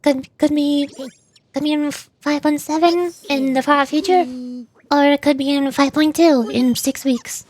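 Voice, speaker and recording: A woman hosting a casual gaming livestream, chatting in an animated, playfully whiny way. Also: high-pitched, a little slow, a little hiss or hum. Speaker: VTuber livestream host.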